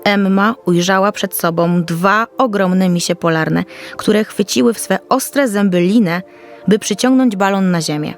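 A woman's voice: medium pitch, measured pace. Woman narrating a children's story over music. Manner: storytelling, descriptive, carefully read. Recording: soft music under the voice.

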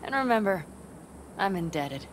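Woman acting a young nomad rebel, headstrong, sardonic, lively swooping intonation, video game drama, slight background noise. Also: mid-range voice, normal speed, natural voice. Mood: grateful, sincere. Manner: emphatic, sardonic.

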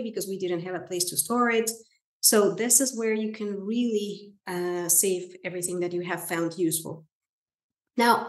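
A woman with a Spanish accent, a native Spanish speaker, walking viewers through software in a webinar, often checking in with "right?" and "okay?". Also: medium pitch, roomy sound. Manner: friendly, explanatory, with frequent "right?" and "okay?". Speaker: software trainer. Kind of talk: webinar screen-share demo. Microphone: video call.

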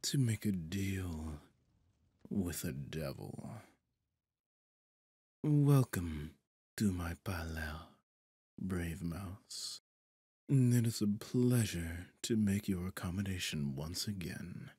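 A suave, possessive devil, played by a man for roleplay audio, speaks in a deep, breathy voice right up on a dry microphone. He takes his time, drawing out phrases with pompous, theatrical condescension and sinking often into near-whispers. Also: lively intonation, slow pace, long pauses, mellow, dry recording, heavily acted. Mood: smug welcome. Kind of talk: roleplay audio drama, ASMR-like monologue. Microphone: close, dry studio microphone.

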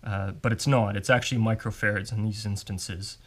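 A man's voice: lowish voice, fairly even intonation, fairly quick, a bit bright. Man giving a hands-on demo. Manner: informal, explanatory, step-by-step. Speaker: technician instructor. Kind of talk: casual hands-on technical demonstration. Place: indoors at a workbench.

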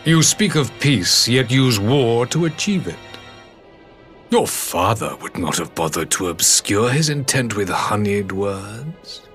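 A man playing a stern, proud emperor in a game cutscene, speaking in haughty, measured, scornful oratory. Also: fairly low pitch, big pitch swings, fairly bright tone, faint music behind, exaggerated voice. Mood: cold, proud. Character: stern, imperious armored emperor, proud and contemptuous. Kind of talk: formal dramatic game cutscene acting.

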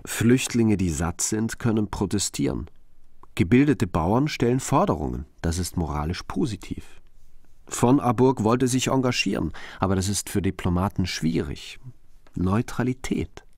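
Animated low-voiced male investigator. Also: moderate pace, no room sound, clean studio sound. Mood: analytical. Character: analytical male investigator reasoning through case facts. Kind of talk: radio crime drama monologue.

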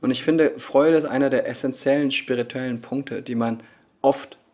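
A man in his thirties, Korean-born, who speaks with many pauses and fillers as a yoga teacher answering interview questions, recorded indoors on a handheld recorder. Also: mid-range voice, fairly even intonation, darkish tone. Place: hotel lounge at a conference, indoors. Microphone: handheld recorder held by the interviewer.

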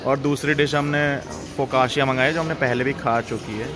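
A man's voice: medium pitch, brisk, music in the background. A young man casually chatting to the camera while filming a food vlog in a busy restaurant. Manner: chatty, relaxed, talks to camera. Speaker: vlogger. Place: busy restaurant indoors, street outside earlier.

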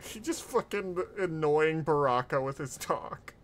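A man's voice: a little high, animated, measured pace, a bit bright, faint music behind. A man streaming casual reaction commentary, amused and keen to explain. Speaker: streamer reacting to game clips.

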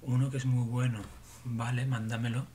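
A man's voice: mid-range voice, level tone, moderate pace, warm tone, a little hiss or hum. A man in his forties from Barcelona, chatting casually with viewers on a livestream from a small room at home, often rambling and muttering to himself. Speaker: livestream host.

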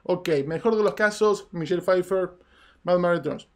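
A man's voice: high voice, normal speed. A man hosting film news in a small studio, talking animatedly in casual commentary. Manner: animated, gestures a lot while talking. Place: small studio with red curtain backdrop.

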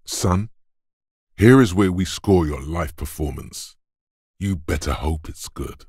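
An actor playing a gruff, macho inner voice of physical strength, hectoring like a drill coach in a video game, gravelly and commanding. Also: male voice, sits low, slow pace, darkish tone, dry recording, clean studio sound, exaggerated voice. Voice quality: gravelly, husky. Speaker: game character voice. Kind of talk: scripted video game narration.

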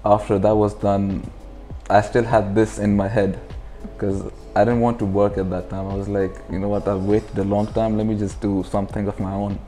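A man's voice: fairly low pitch, level tone, moderate pace, hardly any pauses, warm tone, soft background music. A man in his twenties from the UAE, chatting informally as an interview guest and explaining things, on a lapel mic indoors. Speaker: interview guest, clothing brand founder. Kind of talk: casual interview talk. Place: furnished indoor lounge.

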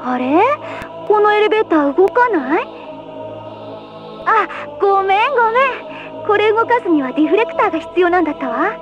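A woman's voice: very high voice, animated, normal speed, muffled recording. A woman voicing a perky, playful young guide in a video game, chirpy and casual as she explains and teases. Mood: playful, helpful. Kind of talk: animated Japanese video game cutscene acting. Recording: music behind the voice.

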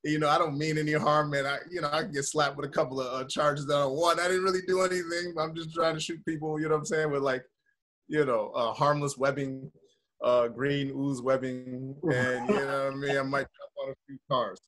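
Casting: American man, thirties, average-pitched, loose slangy storyteller, animated and rambling, casual video-call interview. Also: fairly quick. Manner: animated, slangy, rambling with frequent "you know" and "like". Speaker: actor being interviewed. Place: indoor room, video call.

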